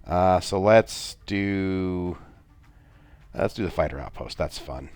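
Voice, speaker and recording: A middle-aged man with a soft, very low voice talks through a game walkthrough. His delivery rambles, dotted with filler sounds and self-deprecating asides. The sound is dry, with no room around it. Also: expressive, slow, clean tone, no room sound. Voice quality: soft-spoken. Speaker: gaming video creator. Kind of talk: gameplay walkthrough commentary.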